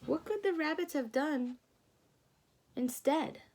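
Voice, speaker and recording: Woman, storybook read-aloud, highish animated voice, unhurried, teacherly, pauses to explain and ask questions.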